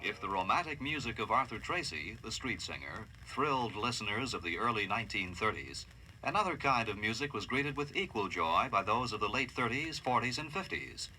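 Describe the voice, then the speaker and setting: An American man narrating a scripted radio history documentary in a formal, measured, dramatic way. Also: fairly low pitch, sing-song, bright, forceful tone, slight background noise, low-quality recording.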